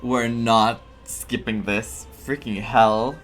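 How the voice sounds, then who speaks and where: Slow-talking man, casual game streamer. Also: mid-range voice, fairly even intonation, fairly bright tone. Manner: hesitant, thinking aloud, emotionally invested. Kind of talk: casual gameplay commentary. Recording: faint game music behind the voice.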